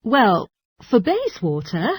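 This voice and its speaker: A woman's voice: mid-range voice, wildly swooping pitch, slow, breathy edge, dry recording, clean studio sound, exaggerated voice. A woman playing a courteous, helpful transport information clerk in a scripted language-test dialogue.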